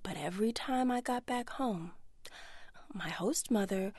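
Animated woman in a dry studio interview. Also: mid-range voice, unhurried, breathy edge, dry recording. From United States. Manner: animated, acts out quoted voices. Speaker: actress and guest. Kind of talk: remote interview, candid reflective talk. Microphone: studio remote link.

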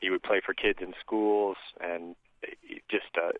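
Low-voiced male caller on phone. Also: little pitch movement, unhurried, no echo. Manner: hesitant, warm about his subject, repeats words. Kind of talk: phone-in call. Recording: tinny phone line.

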